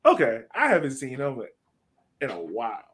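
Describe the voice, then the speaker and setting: A man's voice: medium pitch, wildly swooping pitch, moderate pace, fairly bright tone, slightly rough. Casual male soccer podcaster, thinking aloud. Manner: informal, rambling, thinking aloud. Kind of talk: casual sports podcast chat.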